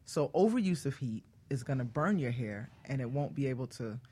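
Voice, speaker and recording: A woman's voice: a bass voice, expressive, fairly quick, mellow, husky. A woman speaking as a guest hair care expert in a radio conversation, explaining things emphatically and plainly and repeating her key points.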